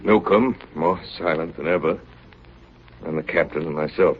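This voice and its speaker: An actor playing a steady, grave ship's officer telling of an Arctic voyage in 1930s radio drama style. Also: male voice, sits low, normal speed, husky. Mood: grave, weary. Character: ship's chief narrating his Arctic diary, steady and grave. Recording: muffled, noisy 1930s radio recording.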